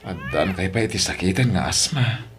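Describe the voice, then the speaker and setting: Deep-voiced, dutiful eldest son. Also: male voice, animated, brisk, fairly bright tone. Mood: concerned. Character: firm, dutiful eldest son scolding younger siblings. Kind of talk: Ilocano audio drama acting. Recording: clearly noisy background.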